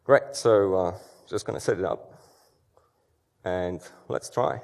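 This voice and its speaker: Man, thirties, relaxed stage presenter who talks through restarts. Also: sits low, slow, gravelly. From Poland. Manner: conversational, many fillers and restarts. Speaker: security conference speaker. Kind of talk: conference talk. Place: large dark auditorium stage.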